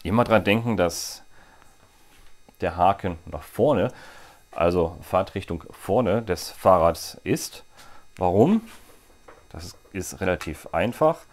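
A man's voice: sits low, expressive, takes it slowly, long pauses, a little hiss or hum. A man in his forties chatting casually as he fits parts to a bike in a small workshop, with jokey asides. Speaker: bike gear vlogger. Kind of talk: hands-on product demo.

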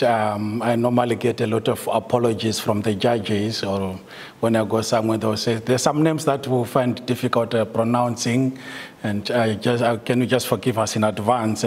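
A man's voice: on the low side, darkish tone, slightly rough. Hesitant Zimbabwean man in a reverberant room. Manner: hesitant, many ums and uhs, light laughter. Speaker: guest speaker, solicitor. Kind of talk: informal talk to staff. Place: meeting room, indoors.

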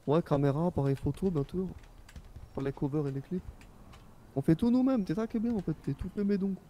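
A man's voice: high-pitched, a little fast, warm tone, a little hiss or hum, natural voice. A man playing a young, easygoing Japanese-born rap label boss in a game, talking in informal, rambling slang. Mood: explanatory. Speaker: role-player. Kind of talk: casual in-character game chat.

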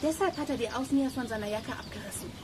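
A woman's voice: on the high side, fast talker, a little mellow, close to an everyday voice. An actress playing a sensible, take-charge girl detective in a children's radio play. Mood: brisk, reasoning. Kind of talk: German audio drama acting. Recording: noisy outdoor ambience.

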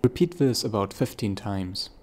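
A man hosting a software tutorial, giving plain step-by-step instructions. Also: fairly low pitch, moderate pace, a little hiss or hum. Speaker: tutorial host.

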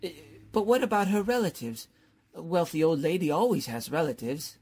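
An actor playing an eager, flattering, bumbling male professor in a theatrical old-time radio comedy style. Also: medium pitch, brisk, husky. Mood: eager, scheming. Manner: theatrical, effusive, hesitant fillers.

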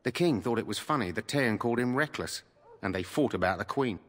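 An actor playing a dutiful, guarded soldier in a fantasy video game, speaking formally and earnestly. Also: male voice, medium pitch, animated, fairly quick, a bit bright, in a large hall. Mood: guarded, confiding. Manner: formal, earnest, gossipy yet cautious. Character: dutiful, guarded male soldier guarding a war camp tent.

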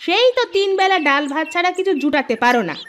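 A woman voicing a sharp-tongued village housewife who scolds her scheming husband. She talks in a scolding, sarcastic way in a cartoon. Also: very high voice, lively intonation, quick pace, a little hiss or hum. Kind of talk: cartoon voice acting.

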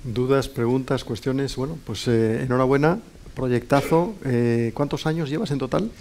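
A middle-aged man with a Castilian Spanish accent moderates a live event. His voice sits at an average pitch, and he questions and comments informally. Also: moderate pace, a little mellow, a little hiss or hum. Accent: Spanish from Spain. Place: indoor conference room with small seated audience.

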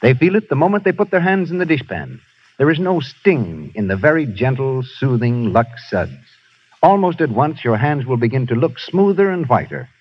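A radio announcer from the 1930s, a man with a husky voice that rises and falls a lot. He reads the ad copy in a persuasive way. The broadcast sound is dull and muffled. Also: medium pitch, sing-song, normal speed. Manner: smooth persuasive sales delivery. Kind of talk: read commercial and cast credits.

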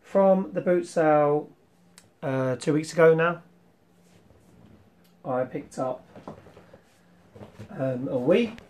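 A young man hosting a casual retro collection vlog, rambling with ums and clearing his throat, his voice slightly worn from losing it. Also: fairly high pitch, slow, long pauses, a little mellow. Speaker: retro game collector vlogger. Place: small room full of toy shelves, indoors.

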